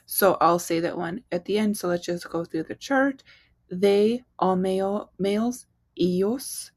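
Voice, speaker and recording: A young woman explains Spanish pronouns in a relaxed, chatty way, full of fillers and little asides. Her voice sits at an ordinary middle pitch. The sound is close and dry, with no room around it. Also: unhurried, no echo. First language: English. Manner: chatty, uses fillers, quiz-style prompts. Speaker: Spanish teacher. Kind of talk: casual online language lesson.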